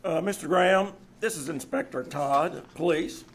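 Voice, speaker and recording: Man playing a husky, methodical police inspector. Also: fairly high pitch. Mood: formal, grave. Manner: measured, formal. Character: polite, methodical male police inspector, confidently accusing. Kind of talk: old-time radio mystery acting. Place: live on a theater stage.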